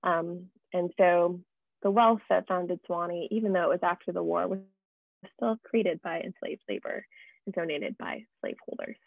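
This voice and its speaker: A young American woman giving an informal, explanatory slide presentation over a video call, speaking somewhat haltingly. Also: on the low side, gravelly, no room sound. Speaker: project presenter. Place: indoors at home, on video call. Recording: muffled, band-limited video call audio.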